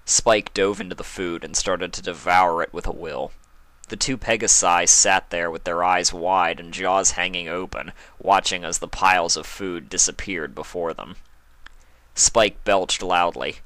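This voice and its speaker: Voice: low voice, steady tone, unhurried, a bit bright, no room sound. A narrator reading a story aloud. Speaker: fan fiction narrator.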